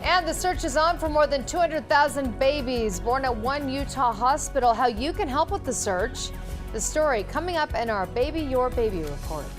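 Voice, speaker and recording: A woman's voice: high voice, animated, normal speed, music in the background. A woman anchoring a television newscast, reading scripted introductions and teases. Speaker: TV news anchor. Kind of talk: scripted news presenting.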